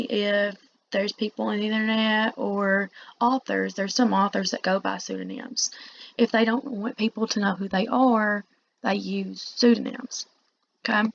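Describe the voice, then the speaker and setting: A woman teacher giving a read-along lecture, reading aloud and pausing to explain in informal asides. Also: mid-range voice, creaky, slight background noise. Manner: explanatory, pausing to paraphrase the text. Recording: dull, muffled lo-fi recording.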